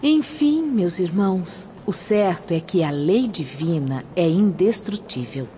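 An actress playing a devout, assured spiritual guide in a radio drama, explaining things formally with a Brazilian accent. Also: female voice, on the low side, animated, unhurried, mellow. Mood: calm, instructive. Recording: dull lo-fi audio with background noise.

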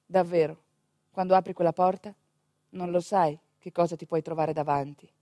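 A woman with a northeastern Italian accent telling a theatrical monologue in an expressive, conversational manner. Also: lowish voice, moderate pace, no room sound, studio quality. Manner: expressive, conversational, with rhetorical questions and asides. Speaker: stage actor and storyteller.

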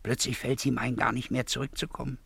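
An actor playing a needling, falsely soothing gossip in a 1950s German radio play, talking colloquially with repeated words and interjections. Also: male voice, mid-range voice, level tone, fast, vocal fry, a bit bright, slightly rough, dry recording, heavily acted. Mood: needling, mocking.

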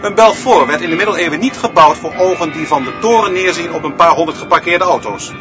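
A man's voice: on the high side, fast talker, lightly acted. A man acting as an opinionated, pedantic schoolteacher in a radio drama, lecturing in a declamatory way. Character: opinionated, pedantic male schoolteacher leading pupils. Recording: dull recording with music behind.

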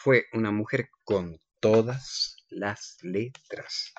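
A man's voice: lowish voice, takes it slowly, slight background noise. An Argentine man hosting a radio show with casual, playful, teasing banter and polite formal address. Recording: dull lo-fi radio sound.

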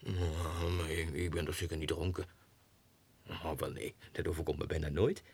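An actor playing an unhinged, excitable low clerk who mutters haltingly to himself in a 1960s radio monologue. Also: male voice, gravelly, breathy edge, full character voice. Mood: bemused muttering.

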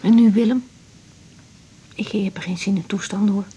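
A woman's voice: mid-range voice, fairly even intonation, normal speed, dark tone, slightly rough, muffled recording. An actress in a radio drama playing a young woman doctor, hurt and bluntly confrontational, over a noisy recording. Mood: suspicious, hurt. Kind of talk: naturalistic 1980s radio drama acting.

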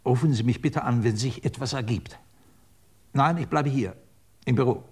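A man's voice: mid-range voice, normal speed, a little mellow, breathy edge, natural voice. An actor in a German radio drama playing a formal, businesslike man in his seventies, reporting in clipped, factual phrases as on a telephone call. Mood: pensive, guarded. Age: 70s.

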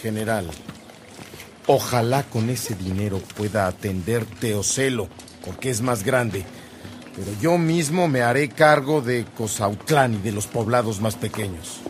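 A devout Mexican bishop, acted by a man in a radio drama, with a low voice and a slow, solemn delivery. He is fatherly and resolute, and clear music plays behind him. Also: natural voice. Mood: earnest, compassionate. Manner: solemn, deliberate, pastoral. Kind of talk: Spanish-language radio drama acting.

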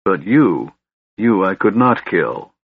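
A man's voice: deep voice, sing-song, slow pace, husky, narrow, tinny audio, full character voice. A man voicing a frail, tormented elf king from a fantasy storybook, delirious and strained. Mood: fearful confusion.

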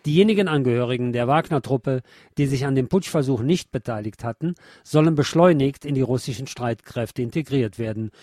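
A man presenting a news programme, reading commentary aloud in a measured, formal way. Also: mid-range voice, mellow, no echo, clean studio sound.

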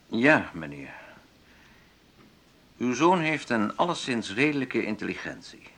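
An actor playing a formal careers psychologist in a radio drama, speaking in a measured, official manner. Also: male voice, mid-range voice, big pitch swings, a bit bright, heavily acted. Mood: formal, detached. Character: formal male careers psychologist delivering a verdict.

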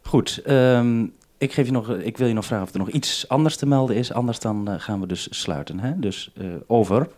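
A man's voice: medium pitch, brisk, hardly any pauses, a little mellow. A man hosting an unscripted two-way radio conversation, speaking hesitantly and often interrupting himself. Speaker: radio programme maker and host.

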